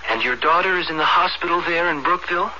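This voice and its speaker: An actor playing a kind, reassuring young medical student in a radio drama, earnest and persuasive. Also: male voice, fairly high pitch, fast talker, lightly acted. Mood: insistent. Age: young adult. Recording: noisy, dull radio broadcast.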